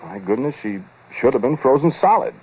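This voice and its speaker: A man's voice: medium pitch, big pitch swings, brisk, slightly rough. An American actor playing a skeptical, teasing scientist who tells his eerie story in a conversational 1940s radio drama style. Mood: animated recounting. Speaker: narrator and lead actor. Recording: noisy, narrow-band 1940s radio recording.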